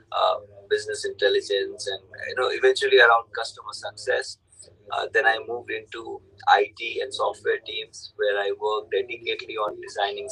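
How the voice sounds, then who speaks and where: A man's voice: fairly low pitch, vocal fry, sharp tone, poor audio. A middle-aged man hosting a casual chat interview, rambling along with plenty of "you know". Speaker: show host.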